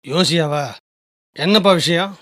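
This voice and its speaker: A man playing a trusting landowner and father in a Tamil radio drama, with a commanding, expressive delivery. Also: a little high, a little slow, faint music behind. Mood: businesslike.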